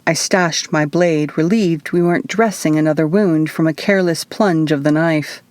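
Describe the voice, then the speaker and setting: Female audiobook narrator, fairly low register, scripted first-person storytelling, dry booth-like sound. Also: normal speed, darkish tone, no echo. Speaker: author reading own audiobook.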